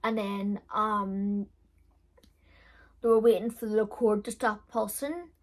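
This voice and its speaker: A young woman telling a personal story in a frank, chatty vlog style, with a loud voice and many fillers. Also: mid-range voice, steady tone, slow. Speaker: beauty vlogger. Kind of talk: casual storytelling.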